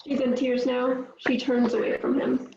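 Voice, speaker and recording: Higher-voiced woman reading, roomy sound. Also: level tone, moderate pace. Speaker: stage directions reader. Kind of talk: scripted narration of screenplay action. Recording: roomy, dull lo-fi, faint noise.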